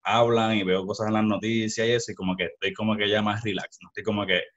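Low-voiced Puerto Rican man, dull sound. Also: level tone, fast, a bit bright. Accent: Puerto Rican Spanish. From Puerto Rico. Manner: rambling, many restarts and fillers. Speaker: podcast host. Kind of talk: casual video-call interview. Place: small room at home. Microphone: headset with studio mic.

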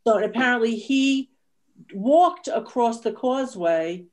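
A woman's voice: fairly high pitch, animated, a little slow, poor audio. A woman speaking as a historian on a video-call panel, explaining past events informally with hesitant phrasing. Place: indoors at home, on a video call. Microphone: computer video-call audio.

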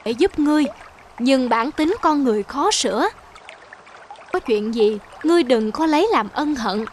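A woman's voice: sits high, expressive, moderate pace, a little hiss or hum. A woman voicing a solemn old male immortal in a cartoon fairy tale, with grave, formal old-fashioned speech.

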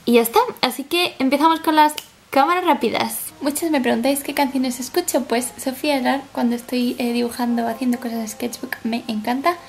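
A woman's voice: high voice, steady tone, fairly quick. A woman in her twenties with an accent from Spain, chatting casually to camera in a rambling, chatty way. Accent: Spanish from Spain. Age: 20s. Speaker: vlogger. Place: small flat living room, indoors.

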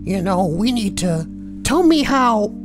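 A woman's voice: medium pitch, sing-song, measured pace, over music. A woman reading forum posts aloud and adding crude, sweary, joking commentary. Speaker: online narrator and commentator.

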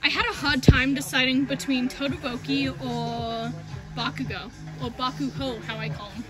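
A young woman chatting casually and excitably to a handheld phone while out as a cosplay vlogger. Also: sits high, moderate pace, over loud music, low-quality recording. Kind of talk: casual chat to camera. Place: shopping mall and stores, outdoors at first. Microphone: handheld phone at arm's length.

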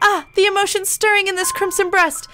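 Woman putting on a high, melodramatic fallen-angel pigeon. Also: little pitch movement, fairly quick, pushed and bright, a little hiss or hum. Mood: ominous, dramatic. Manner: theatrical, grandiose archaic declaiming. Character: melodramatic young male pigeon, self-styled fallen angel. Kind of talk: visual novel voice-over, anime parody.

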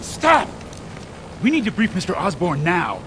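An actor playing a weary but firm middle-aged scientist in a video game, terse and controlled. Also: male voice, high-pitched, huge pitch swings, unhurried, background noise, exaggerated voice. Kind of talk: dramatic video game acting.

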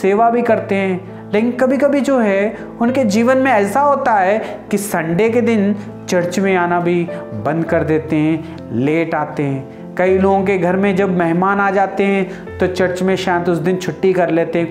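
A man's voice: sits high, darkish tone. A man in his thirties preaching with fervent energy and sweeping gestures, addressing brothers and sisters. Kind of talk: Hindi sermon. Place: indoor studio before printed backdrop. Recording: roomy sound with music underneath.

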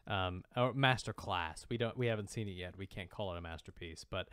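A man co-hosting a podcast in casual banter, animated and often repeating himself. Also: low voice, brisk, smooth, dry recording, clean studio sound. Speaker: podcast co-host. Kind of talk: casual banter about manga news.

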